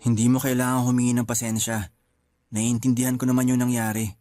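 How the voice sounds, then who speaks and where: A man's voice: medium pitch, level tone, brisk, dark tone, lightly acted. A man acting a lonely, bereaved young nephew in a Filipino radio drama, plain-spoken and earnest, with a Filipino accent. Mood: subdued. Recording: music underneath.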